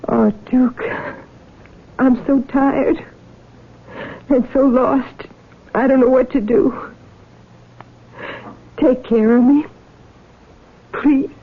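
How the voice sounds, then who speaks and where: An actress playing a weary, guarded young waitress and farm wife in a wistful 1970s radio drama. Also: female voice, sits high, fairly even intonation, very slow, long pauses, slightly rough, exaggerated voice. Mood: weary, pleading. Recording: tinny 1970s radio broadcast, music behind.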